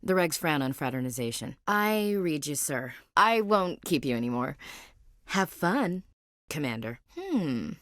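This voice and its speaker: A woman's voice: deep voice, big pitch swings, unhurried, a bit bright, dry recording, full character voice. A woman with an American accent playing a young, dutiful, frank marine soldier with dry humour in a video game. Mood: embarrassed, teasing. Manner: crisp military address, frank, dry humour. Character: blunt, dutiful young female marine soldier, guarded and wry.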